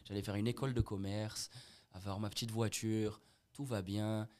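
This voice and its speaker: A man's voice: lowish voice, level tone, normal speed, a little mellow. A man in his twenties from Morocco with a light Moroccan French accent, telling stories as a guest in a casual chat, full of slang and English swear words. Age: 20s. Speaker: podcast guest.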